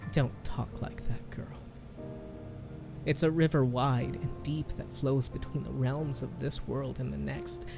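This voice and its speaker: A man voicing a tough, foul-mouthed old village grandmother, coarse and rough-spoken. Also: a little high.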